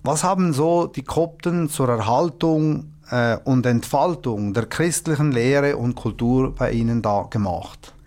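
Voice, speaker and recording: A man's voice: medium pitch, unhurried, few pauses, a little mellow. A man from the Upper Valais, interviewing a guest with formal, polite questions. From Upper Valais, Switzerland. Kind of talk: radio interview.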